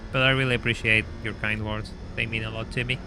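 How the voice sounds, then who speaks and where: A man's voice: lowish voice, little pitch movement, fast, background noise. A young man from Argentina, chatting casually while streaming a racing game, laughing often and stammering over repeated words. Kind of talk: casual live-stream chat and gameplay commentary.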